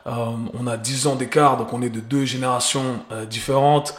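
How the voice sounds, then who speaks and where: A man's voice: mid-range voice, steady tone, moderate pace. A man, hosting a casual podcast and chatting loosely with English slang mixed in. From Geneva, Switzerland. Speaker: podcast host. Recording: roomy echo.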